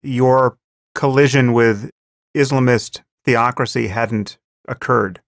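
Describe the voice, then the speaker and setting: A man hosting a podcast interview, asking calm, deliberate questions. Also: medium pitch, no echo, clean studio sound. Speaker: podcast host.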